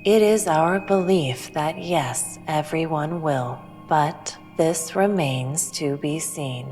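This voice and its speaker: An actress playing a serene, preachy female alien spiritual teacher in an audio drama, speaking in a solemn, measured way. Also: deep voice, a little mellow. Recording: long reverb, music underneath.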